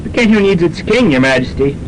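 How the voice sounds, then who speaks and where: A man's voice: animated, a little fast, lightly acted. A young man playing a dry, smug American businessman in a campus radio comedy. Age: young adult. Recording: noisy rumbling student radio recording.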